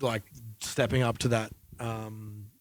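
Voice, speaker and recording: A man's voice: on the low side, level tone, slow, no room sound. A man co-hosting a music podcast in an informal, conversational chat style.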